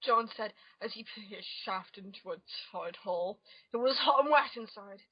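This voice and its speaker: A woman's voice: medium pitch, normal speed, husky, no echo. A woman narrating an amateur dramatic reading of comic fan fiction, on a muffled home recording.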